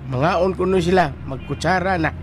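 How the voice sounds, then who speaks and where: A man with a Filipino Cebuano accent playing an easygoing taxi passenger who tells gossip haltingly in a comedic radio drama. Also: high voice, lightly acted. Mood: chatty. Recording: noisy street and vehicle sound behind.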